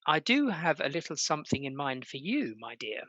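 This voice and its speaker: A woman's voice: deep voice, big pitch swings, brisk, fairly bright tone, dull audio, full character voice. Woman as a polite young victorian english gent composer, bit hesitant, very up and down intonation, radio play. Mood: courteous. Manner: courteous, hesitant.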